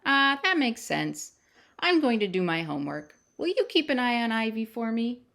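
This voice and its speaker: A woman's voice: a little high, sing-song, normal speed, vocal fry, a bit bright, heavily acted. A woman voicing an earnest, polite young storybook child with a questioning lilt and exaggerated politeness. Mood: earnest, curious.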